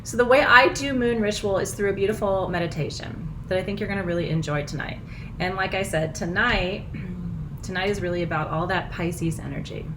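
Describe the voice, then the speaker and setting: A middle-aged woman with a froggy, hoarse voice, hosting a live online ritual from her small house in casual, bubbly chat, laughing often. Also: fairly low pitch, fairly quick, noisy background. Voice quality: froggy, husky. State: hoarse, nearly lost voice. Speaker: spiritual teacher hosting a live full moon ritual.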